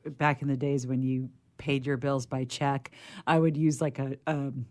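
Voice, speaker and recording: A woman with a notably low voice joins a casual discussion. She is chatty and informal, offering small personal stories with a self-teasing touch. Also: level tone, a little slow, a little mellow. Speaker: discussion participant. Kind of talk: casual chat, personal anecdotes.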